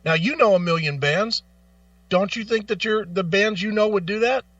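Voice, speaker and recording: Male talk host, high-voiced and argumentative, blunt swearing, emphatic rant delivery, recorded dry and a little off the mic. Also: moderate pace, a bit bright, no room sound, recorded from a distance, dull audio. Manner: repeats phrases for emphasis. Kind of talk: opinionated music talk, ranting.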